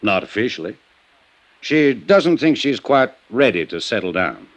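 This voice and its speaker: Testy, animated American small-town attorney. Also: male voice, mid-range voice, measured pace. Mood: guarded, grudging. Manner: testy, guarded, protesting. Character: defensive, indignant small-town male assistant county attorney. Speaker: radio drama supporting actor. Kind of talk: Golden Age American radio drama acting.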